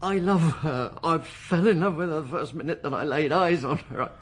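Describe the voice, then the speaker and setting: An actor playing a distraught middle-aged husband under police questioning, pleading and halting in a radio drama. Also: male voice, a little high, natural voice. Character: distraught middle-aged husband accused of attacking his family.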